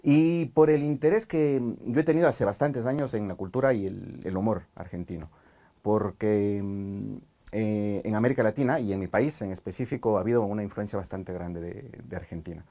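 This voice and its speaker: A man from Ecuador with an Ecuadorian highland accent, a Spanish teacher chatting on a podcast, thoughtful and hesitant with many fillers. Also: moderate pace, dark tone. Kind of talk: casual podcast chat.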